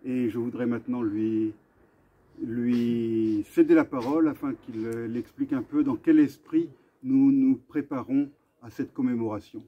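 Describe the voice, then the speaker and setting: A man hosting an earnest commemorative address to camera, speaking deliberately with halting pauses and repetitions. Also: medium pitch, steady tone. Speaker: video host and association vice-president. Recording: dull, muffled lo-fi sound.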